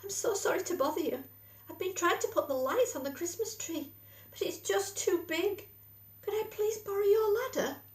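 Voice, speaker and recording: Shy little storybook mole, polite and apologetic, high-voiced, as a woman reads him, echoey room. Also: moderate pace. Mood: timid. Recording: echoey room, distant mic.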